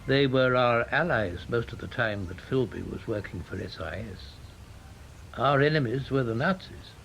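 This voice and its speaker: An actor playing an elderly, reserved English intelligence official in a radio drama, formal, measured and dryly evasive. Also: male voice, mid-range voice, expressive, gravelly, fairly bright tone, slight background noise. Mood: dry, guarded.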